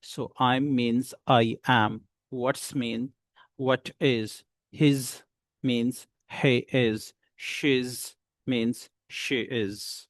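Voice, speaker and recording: A man teaching a beginner lesson, explaining slowly and patiently with many pauses. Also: mid-range voice, fairly even intonation, no echo. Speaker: English teacher.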